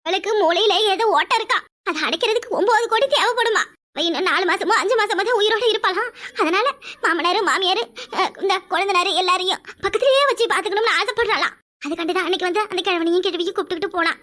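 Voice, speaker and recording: Complaining wife, played by a woman, very high and bright, rapid gossipy chatter. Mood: aggrieved. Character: aggrieved village wife gossiping about in-laws. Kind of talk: village family comedy skit.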